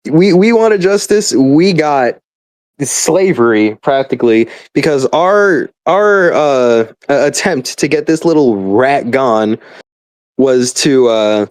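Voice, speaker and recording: A man's voice: mid-range voice, lively intonation, slow pace, dry recording. A young man in a casual, rambling rant, swearing freely, exasperated and exaggerating, often stammering as he restarts his words. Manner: profane, exasperated, hyperbolic; stammering repeated starts. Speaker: gamer.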